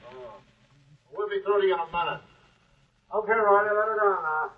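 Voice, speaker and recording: An actor playing a rescue worker calling down reassuringly into an elevator shaft in an old radio drama. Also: male voice, high-pitched, fairly quick, a crowd in the background, natural voice. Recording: tinny, noisy old radio recording from afar.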